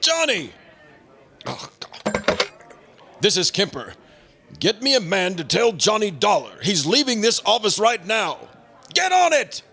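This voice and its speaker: An excitable official in a radio play acted by a man, with a high, sharp voice that swings widely in pitch. He is urgent and easily exasperated, the sort to bark orders. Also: a little slow, pauses often, exaggerated voice. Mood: alarmed, commanding. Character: excitable male agency official barking orders. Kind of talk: old-time radio drama acting.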